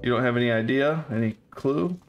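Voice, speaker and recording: A man's voice: mid-range voice, fairly quick, lo-fi sound, music in the background. A man giving casual gameplay commentary, thinking aloud in short phrases. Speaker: gamer, co-op let's player.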